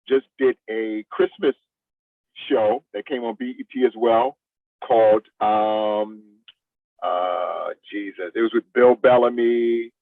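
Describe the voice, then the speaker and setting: A man's voice: mid-range voice, no room sound, phone-quality sound. Middle-aged man on a thin, distant line. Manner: colloquial, addresses listener as "man". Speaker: actor, interview guest. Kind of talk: casual interview conversation.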